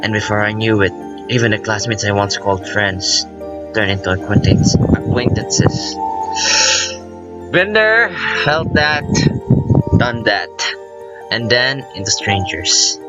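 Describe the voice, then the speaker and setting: Guy with a lowish voice doing a let's play, rambly n excitable, cuts himself off, game music going behind him. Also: slow, long pauses, highs cut off. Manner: informal, self-interrupting, excitable. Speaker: let's player narrating a visual novel. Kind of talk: casual rambling gameplay commentary with reading aloud. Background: visual novel game music.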